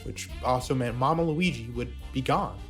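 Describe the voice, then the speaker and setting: A man's voice: mid-range voice, normal speed, fairly bright tone, faint music behind. A man narrating a video essay in a scripted documentary style.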